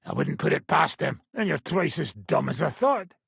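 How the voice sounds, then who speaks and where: A man voicing a gruff, sardonic middle-aged mercenary bandit in a video game, with a raspy, gravelly voice and a blunt, coarse, mocking manner. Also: a little high, lively intonation, normal speed, fairly bright tone, dry recording, studio quality, full character voice. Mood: sardonic, scheming.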